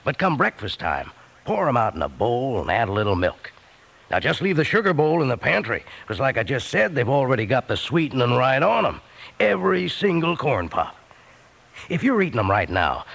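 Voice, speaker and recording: An actor playing a folksy, chummy cowpoke announcer giving an old-time radio commercial pitch. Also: male voice, vocal fry, a bit bright, full character voice. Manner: folksy, chummy, drawling slang.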